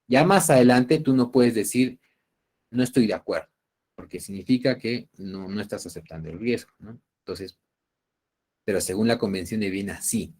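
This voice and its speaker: Peruvian guy teaching an online class, lowish voice, explains stuff step by step and keeps checking you get it. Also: moderate pace, darkish tone. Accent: Peruvian Spanish. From Peru. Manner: didactic, checking understanding with tag questions. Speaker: university lecturer. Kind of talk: online class explaining trade law cases.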